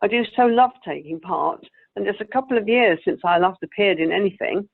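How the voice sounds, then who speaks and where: Radio play old thespian played by a woman: genteel, wistful, gently vain, creaky, tinny line. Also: medium pitch, brisk. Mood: hopeful, persistent. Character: wistful, genteel elderly actress, sweetly vain and persistent. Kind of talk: amateur radio play acting. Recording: tinny, phone-like video call audio.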